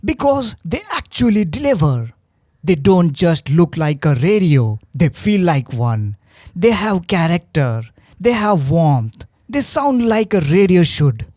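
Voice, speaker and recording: A presenter reads a scripted review at an unhurried pace. The voice is mellow and soft-edged, recorded dry and close. The delivery is wry, with rhetorical turns. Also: mid-range voice, very animated, dry recording. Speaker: presenter of a radio review video. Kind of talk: scripted narration.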